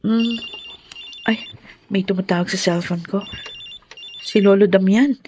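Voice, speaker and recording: A woman's voice: mid-range voice, steady tone, measured pace, a little mellow, a little hiss or hum, lightly acted. An actress playing a tender, emotional young woman, a loving granddaughter, in a Filipino radio drama. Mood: affectionate. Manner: emotional, earnest, respectful.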